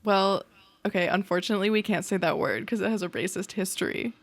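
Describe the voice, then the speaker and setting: A woman's voice: medium pitch, fast talker, dry recording. A woman co-hosting a podcast, talking through her thoughts in casual conversation. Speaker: podcast co-host.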